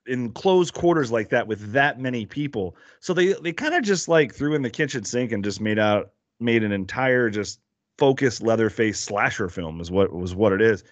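Podcast guest, American man, casual and rambling, very animated intonation. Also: fairly low pitch, normal speed, no echo, highs cut off. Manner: rambling, lots of fillers and restarts, laughs easily.

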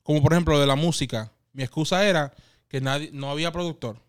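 A man in his thirties with a Dominican Spanish accent tells stories as an interview guest, lively and often laughing. His voice sits a bit high for a man and comes through smooth and clear. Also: fast talker. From Dominican Republic. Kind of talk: casual interview storytelling.

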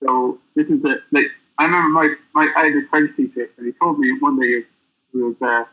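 A man's voice: medium pitch, steady tone. A man joins an interview as a guest over a tinny, phone-like line. He rambles through his stories and often stops and restarts. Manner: rambling storyteller, halting. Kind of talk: casual interview chat.

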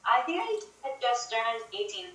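A woman, a guest chatting casually and relaxed from a car. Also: fairly high pitch, normal speed, roomy sound, distant mic. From Venezuela. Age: 20s. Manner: relaxed, casual, says "like" a lot. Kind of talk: casual interview on a call. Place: passenger in a moving car.

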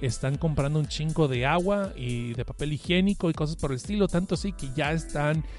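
A man's voice: a little high, a little fast, soft background music. A middle-aged Mexican man with a cold, hosting a live podcast in slangy, self-deprecating casual talk and reading out listener comments. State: has a cough and cold. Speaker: podcast host.

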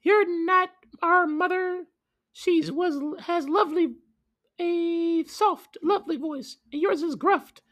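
Squeaky, insistent little goat kids. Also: male voice, slow pace, a bit bright, heavily acted. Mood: defiant. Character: young goat kids, high-pitched, defiant little children.

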